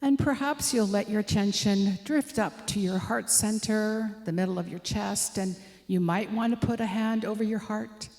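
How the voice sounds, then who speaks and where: A woman leading a guided meditation, giving gentle, inviting instructions as soft suggestions. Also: medium pitch, normal speed, hardly any pauses. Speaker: meditation leader.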